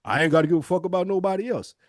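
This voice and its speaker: A man's voice: high-pitched, very fast, dry recording, studio quality. An American man in casual podcast conversation, speaking emphatically in slang and acting out imagined dialogue. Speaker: podcast co-host.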